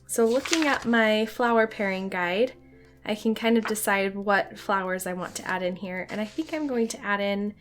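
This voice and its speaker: Hoarse woman teaching watercolor painting. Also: medium pitch. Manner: friendly, chatty, light laughs. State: hoarse from a cold. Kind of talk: casual painting tutorial narration.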